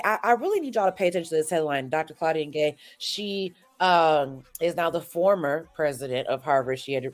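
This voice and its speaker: A woman hosting a morning show, stuffy from a cold, chatting through the news and checking in with listeners. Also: on the low side. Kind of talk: casual news recap chat.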